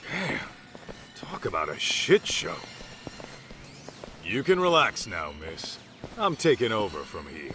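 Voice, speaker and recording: A man's voice: fairly low pitch, huge pitch swings, slow pace, pauses often, music in the background, full character voice. An actor playing a burly, easygoing former yakuza tough guy in a video game cutscene, slangy and sardonic. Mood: cocky, easygoing.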